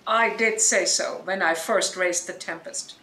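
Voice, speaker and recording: A woman plays a commanding sorceress in a Shakespearean audio drama. Her bright, forceful voice moves slowly and grandly, in a roomy echo with faint noise. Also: medium pitch. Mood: resolute, reflective. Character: commanding, vengeful yet merciful female sorceress. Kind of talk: Shakespearean radio play acting.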